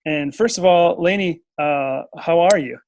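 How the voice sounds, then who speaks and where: Higher-pitched American male interview host. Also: measured pace, noisy background. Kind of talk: casual interview.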